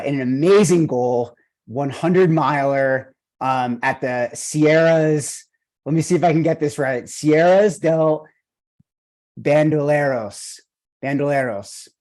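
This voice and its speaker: A man's voice: on the high side, takes it slowly, darkish tone. A man with an Argentinian accent, hosting a running coaching podcast and interviewing an athlete in an enthusiastic, rambling way with many fillers. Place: video call.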